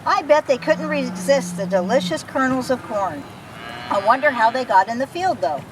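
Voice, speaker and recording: A woman's voice: high-pitched, lively intonation, moderate pace. A woman reading a children's picture book aloud expressively, as if to young listeners. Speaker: storybook reader. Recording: noisy recording, distant from the mic.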